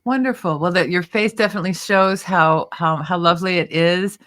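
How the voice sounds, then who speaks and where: Woman host, effusive and lively, leading an interview. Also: on the low side, brisk. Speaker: show host. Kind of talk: interview hosting.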